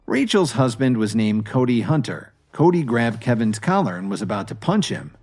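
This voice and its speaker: Male audio drama narrator, lively pitch. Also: normal speed. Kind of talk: scripted story narration. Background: music.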